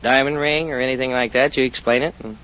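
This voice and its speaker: A man's voice: medium pitch, fairly even intonation, fast talker, fairly bright tone. A man from Illinois, an auctioneer, being interviewed as a contestant on an old radio quiz. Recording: lo-fi phone-like old radio broadcast.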